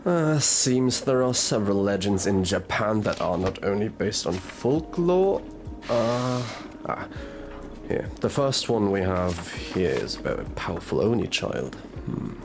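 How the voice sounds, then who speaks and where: Man playing a gruff sardonic detective, very low gravelly voice, drawling delivery, audio drama, music behind. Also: big pitch swings, unhurried. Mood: musing. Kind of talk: audio roleplay drama acting.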